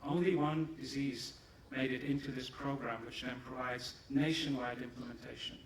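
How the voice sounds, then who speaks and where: German-native male presenter, slightly higher voice, emphatic, heard far off in a reverberant hall with an audience. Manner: measured, emphatic, explanatory. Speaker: pharma company presenter. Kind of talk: conference presentation. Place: large hall. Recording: echoey hall, distant mic, audience.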